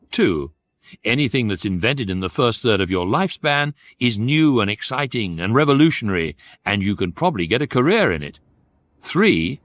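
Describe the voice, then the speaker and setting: An actor playing an urbane talking guidebook, narrating wryly and precisely in a radio comedy. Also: male voice, mid-range voice, no room sound, close to an everyday voice. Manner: measured, wry, precise. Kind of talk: scripted comic narration.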